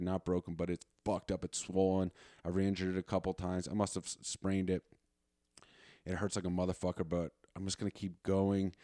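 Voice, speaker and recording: A deep-voiced man in his late thirties talks off the cuff as a solo podcast host. His delivery is loose and slangy, peppered with curses, and the sound is dry and close. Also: darkish tone, dry recording. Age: late 30s. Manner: profane, slangy, informal. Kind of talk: casual rambling solo chat.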